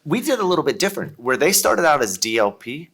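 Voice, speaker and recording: A man's voice: fairly high pitch, sing-song, quick pace, fairly bright tone, husky. A man in his fifties with an Ohio accent, chatting as a podcast guest in a jokey, rambling way. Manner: jokey, rambling, leans on "kind of". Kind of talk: casual interview chat.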